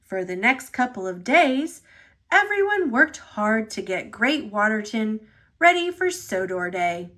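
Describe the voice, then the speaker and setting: A high-voiced woman narrates a children's picture book. She takes her time over each phrase and swings her pitch expressively. The delivery is dramatic and shaped for small children. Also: sing-song, sharp tone. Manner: dramatic, deliberate phrasing for young listeners. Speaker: storybook reader.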